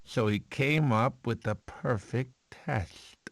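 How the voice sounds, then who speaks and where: A man voicing a chatty, dramatic cartoon prehistoric animal who tells stories to a child in a theatrical, expressive way. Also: mid-range voice, slow pace, creaky, slight background noise. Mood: dramatic storytelling. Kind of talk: animated film voice clips.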